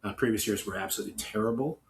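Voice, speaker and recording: Low-voiced Canadian man, rambling podcast talk. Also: a little hiss or hum. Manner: halting, rambling delivery with self-corrections. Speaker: podcast co-host. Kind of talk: opinionated casual podcast talk, partly reading notes.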